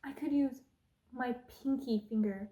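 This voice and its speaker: A woman's voice: fairly high pitch, unhurried, echoey room, heavy background noise, close to an everyday voice. A woman playing a cruel, haughty evil queen in an ASMR roleplay, imperious and scornful, sometimes slipping into whispers. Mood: contemptuous, menacing.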